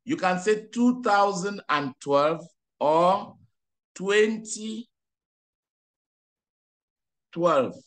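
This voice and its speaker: A man's voice: high-pitched, expressive, very slow, pauses often. A man tutoring English one-on-one online, patiently correcting a learner and explaining words in French.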